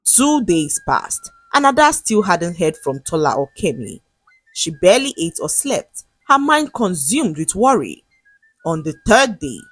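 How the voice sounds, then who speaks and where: A woman's voice: on the low side, breathy edge, no room sound, rough recording. A woman narrating a scripted folktale as a dramatic storyteller.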